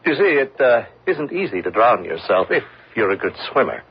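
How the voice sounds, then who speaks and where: Wry, hesitant prodigal swindler, male, husky and animated, old radio drama. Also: mid-range voice, moderate pace, heavily acted. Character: returned swindler, hesitant and wry, facing his debt. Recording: dull lo-fi old radio recording.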